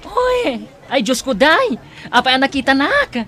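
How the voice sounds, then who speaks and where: A woman's voice: high-pitched, big pitch swings, unhurried, bright, forceful tone, heavily acted. A woman with an Ilocano accent playing a flustered, hesitant, exclamatory woman in an audio drama. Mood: startled.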